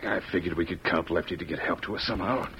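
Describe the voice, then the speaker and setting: An actor playing a tough, scheming western outlaw, talking in a hushed conspiratorial way in a radio drama. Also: male voice, sits low, fast talker, highs cut off, close to an everyday voice. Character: scheming, tough male outlaw plotting jailbreak. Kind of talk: old radio western acting. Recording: faintly noisy old radio recording.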